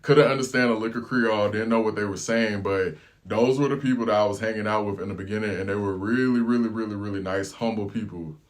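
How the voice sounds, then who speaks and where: An American man vlogging, telling a relaxed, slangy travel story in casual chat. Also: on the low side, fast. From United States. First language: English.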